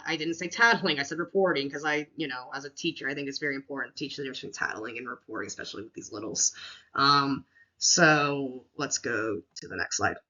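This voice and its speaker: A woman teacher with a deep voice talks casually through a classroom lesson plan, with many fillers and restarts. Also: bright, forceful tone, muffled recording.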